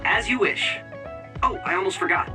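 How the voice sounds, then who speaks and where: A man's voice: high-pitched, moderate pace, sharp tone, faint music behind. An actor playing an obliging male aide who brings news in a video game, casual in manner. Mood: helpful.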